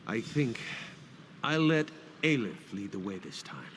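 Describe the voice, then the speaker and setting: Earnest, solemn warrior guildsman, animated pitch, fantasy game, over clear background noise. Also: unhurried. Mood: troubled, solemn. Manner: solemn, dramatic, emphatic. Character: earnest, devout warrior guildsman, shaken but resolute. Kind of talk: fantasy video game acting.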